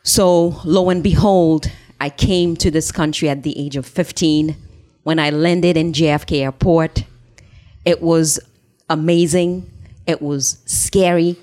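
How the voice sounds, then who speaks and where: Low-voiced woman telling her story. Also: fairly even intonation, mellow. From Haiti. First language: Haitian Creole. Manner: earnest, measured storytelling. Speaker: interview guest, business founder.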